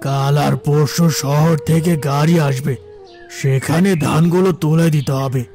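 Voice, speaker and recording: A man's voice: fairly high pitch, fairly even intonation, unhurried, darkish tone. A man voicing a stingy, scheming village moneylender in a cartoon folk tale, curt, scornful and bossy. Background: music.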